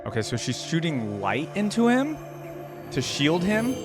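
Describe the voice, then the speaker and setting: A man's voice: fairly high pitch, wildly swooping pitch, unhurried. Casual male reactor over game music. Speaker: reaction video host.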